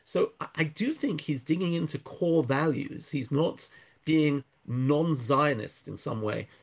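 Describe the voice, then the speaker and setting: A man speaking thoughtfully in an interview, pausing and restarting often, weaving in Hebrew verses. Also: a little high, expressive, gravelly. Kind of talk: conversational interview.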